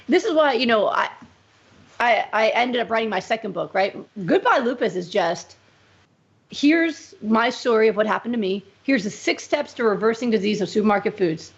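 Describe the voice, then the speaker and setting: A woman doctor being interviewed, talking in an emphatic, animated way. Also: medium pitch, lo-fi sound. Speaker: medical doctor, guest. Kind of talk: conversational interview.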